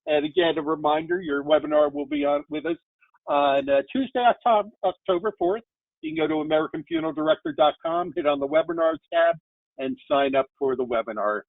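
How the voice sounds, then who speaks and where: A man's voice: high-pitched, very animated, fairly quick, vocal fry, no room sound. A man hosting an interview over a video call, asking chatty, friendly questions. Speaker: interview host. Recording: tinny dry phone-like call audio.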